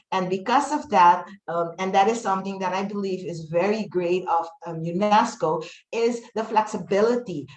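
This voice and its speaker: A woman in her fifties with Papiamento as her first language, speaking earnestly on a webinar panel with frequent pauses. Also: medium pitch, roomy sound, poor audio. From Dutch Caribbean. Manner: earnest, fluent, many "um" pauses. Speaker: webinar panelist, teacher trainer.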